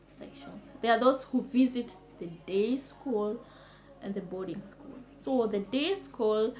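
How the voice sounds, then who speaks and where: A young woman from Kenya hosting her own vlog, talking casually and enthusiastically, heaping on superlatives with many pauses. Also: on the high side, darkish tone. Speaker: vlogger.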